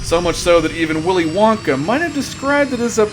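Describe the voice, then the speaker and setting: High-pitched, theatrical male narrator. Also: sing-song, a little fast, over music. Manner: theatrical, wry. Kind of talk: scripted comedic sci-fi narration.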